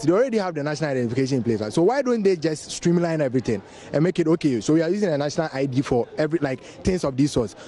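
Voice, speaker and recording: Questioning Ghanaian man, mellow higher voice. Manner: questioning, halting. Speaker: member of public in street interview. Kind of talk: casual vox pop. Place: outdoors on the street.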